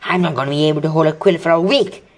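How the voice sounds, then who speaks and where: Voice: medium pitch, very fast, voice pushed up, natural voice. A reader voicing a grumbling schoolboy wizard, raised and emphatic, during a read-aloud of a children's novel. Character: boy schoolwizard, grumbling friend.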